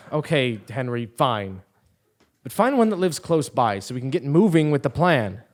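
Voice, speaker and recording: A man's voice: mid-range voice, big pitch swings, unhurried. A man playing an exasperated, defiant commoner who leads a scheme, emphatic and incredulous, in a comic stage audio play. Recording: live theatre stage recording.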